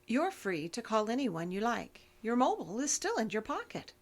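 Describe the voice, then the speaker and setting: A woman's voice: a little high, big pitch swings, normal speed. Cool, formal female interrogator. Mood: measured, pressing. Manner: formal, controlled, dry. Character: cool, persistent female investigator, businesslike interrogator. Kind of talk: audio drama acting.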